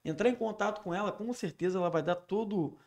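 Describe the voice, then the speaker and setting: A man's voice: high-pitched, fast talker, darkish tone. A man hosting a casual interview, talking in a loose conversational way with many fillers. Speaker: host.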